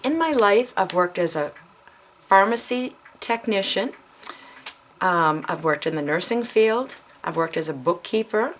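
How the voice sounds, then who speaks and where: Middle-aged woman vlogger, low voice, rambling home-video chat with a faint hiss behind. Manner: chatty, frequent ums and self-corrections. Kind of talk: casual chat to camera.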